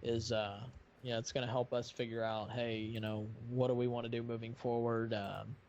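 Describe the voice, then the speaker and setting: A man's voice: medium pitch, flat delivery, normal speed, darkish tone. A man chats casually as a live stream host, rambling and feeling his way through his thoughts. The sound is somewhat muffled, with light noise behind him. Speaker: live stream host of a fan dubbing project. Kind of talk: casual chat. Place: online voice-chat live stream.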